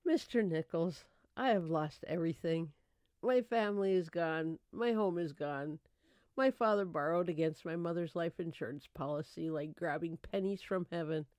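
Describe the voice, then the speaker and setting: A woman's voice: on the low side, moderate pace, a little mellow, dry recording, close to an everyday voice. A woman playing a polite, earnest young woman down on her luck, speaking formally in an old-time radio drama. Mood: distraught. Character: polite, earnest young woman, newly destitute, seeking work.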